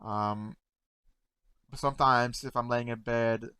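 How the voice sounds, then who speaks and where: A man in casual talk to camera, halting and stuttering, repeating words and often trailing off softly, close to the mic at home. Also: medium pitch, fairly even intonation, clear, resonant tone, dry recording. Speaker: content creator reacting to memes.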